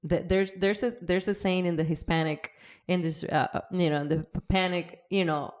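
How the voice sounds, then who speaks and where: A woman's voice: lowish voice, steady tone, normal speed. A middle-aged woman from Cuba, hosting an interview in a chatty way, often restarting sentences. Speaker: podcast host. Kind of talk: casual interview chat.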